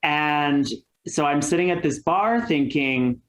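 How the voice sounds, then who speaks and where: A man's voice: on the high side, slow pace, a bit bright, roomy sound, rough recording. A man talking candidly as a guest on a podcast, chatty and full of fillers. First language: English. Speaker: guest YouTuber. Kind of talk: casual podcast chat.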